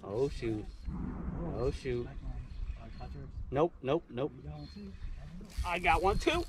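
A man chatting casually and excitedly as he fishes from a boat, exclamatory and slangy. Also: mid-range voice, very slow. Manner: exclamatory, slangy, laughs often. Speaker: angler hosting a fishing video. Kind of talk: excited casual chat while fishing. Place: outdoors on a small boat on a lake. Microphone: action camera on the boat, a few meters away. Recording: muffled, distant, loud wind and boat noise.

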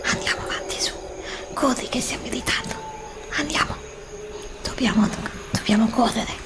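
A frightened young woman lost in dark woods, played by a middle-aged woman whispers anxious, pleading questions. Her voice is higher than usual and swings lively up and down. It is recorded close on a desk condenser mic in a home room. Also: normal speed. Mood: fearful. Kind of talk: ASMR roleplay.

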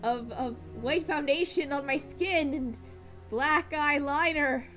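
A woman's voice: high-pitched, slow pace, fairly bright tone, faint music behind. A woman in a casual comic monologue, speaking in a melodramatic, hesitant way.

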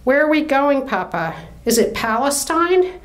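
A woman's voice: sits high, sing-song, a little slow, voice pushed up. Woman narrator as young daughter, novel character, restless, pleading, defiant, pressing questions. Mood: insistent questioning.

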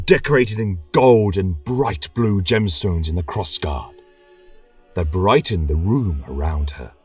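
A man narrating a scripted fantasy tale as a podcast storyteller, solemn, dramatic and measured. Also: on the low side, husky, recorded from a distance. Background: choral music.

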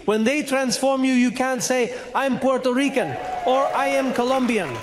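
A middle-aged man preaching a sermon on a studio stage into a handheld mic, animated and acting out dialogue, at times rising to a shout. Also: very high-pitched, normal speed, a crowd in the background, noisy background. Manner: animated, gesturing, acts out dialogue, rises to shouts.

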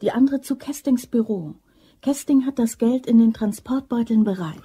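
Husky, very dark-voiced female schemer. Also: on the high side, normal speed. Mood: cautious. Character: cool, bossy office woman masterminding a robbery. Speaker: actor. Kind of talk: German crime radio play acting.

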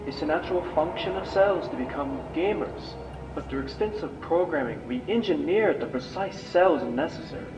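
A man playing a weary, guilt-ridden old scientist in an amateur video game dub, speaking gravely and solemnly. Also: fairly high pitch, animated, normal speed, muffled recording, lightly acted. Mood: grave, explaining. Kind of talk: dramatic video game monologue, amateur voice acting. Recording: echoey, distant voice over game music.

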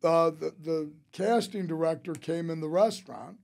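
High-pitched older man spinning anecdotes. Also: moderate pace, gravelly. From St. Louis, United States. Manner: rambling, animated storyteller who quotes others. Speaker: interview guest, restaurateur. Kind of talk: casual anecdotal storytelling. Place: small podcast studio. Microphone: close studio mic on a boom arm.

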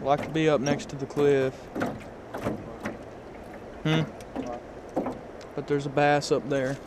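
A man's voice: fairly high pitch, flat delivery, takes it slowly, noisy background. A young man casually vlogging a fishing trip outdoors, joking and rambling on an action camera. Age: young adult. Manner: joking, rambling, laid-back. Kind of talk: casual vlog chat. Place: outdoors on a river bank and boat.

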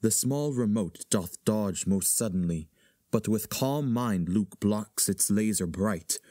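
An actor playing a solemn chorus, narrating verse in a Shakespearean dramatic reading. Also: male voice, fairly low pitch, lively intonation, takes it slowly, dark tone, dry recording, studio quality.

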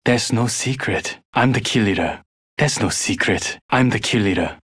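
A guarded young male hacker from a video game. His secretive voice is slightly low and husky, recorded very dry. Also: normal speed, a bit bright, no echo.

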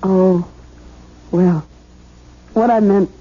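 An American actress playing an excitable, anxious young newlywed wife in an emotional, theatrical 1970s radio drama style. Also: female voice, medium pitch, drawn-out words, slightly rough. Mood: happy, chatty. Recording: dull 1970s radio broadcast.

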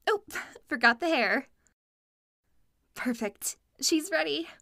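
Bright, swooping, haughty stylist. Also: female voice, high-pitched, animated, slow pace, creaky, dry recording, clean studio sound, heavily acted. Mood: bossy, fussy. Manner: dramatic, fussy. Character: brisk, haughty, theatrical female stylist. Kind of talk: fantasy audio drama acting.

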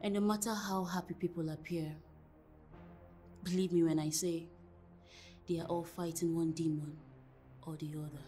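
A woman's voice: on the low side, fairly even intonation, moderate pace, pauses often, dark tone, soft background music, natural voice. An actress playing an earnest, caring female therapist with a Nigerian accent in a screen drama. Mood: reflective.

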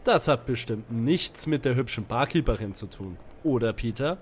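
A man's voice: medium pitch, expressive, normal speed, soft background music, lightly acted. An actor playing a dry, suspicious young male detective in a parody audio drama.

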